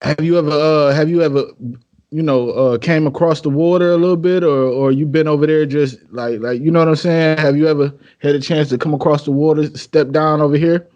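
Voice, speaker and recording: Higher-pitched American man, slangy podcast host. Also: fairly even intonation, brisk, a little mellow, dull audio. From United States. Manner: informal, repeats phrases, frequent "man" and "you know". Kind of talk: casual interview chat, slangy.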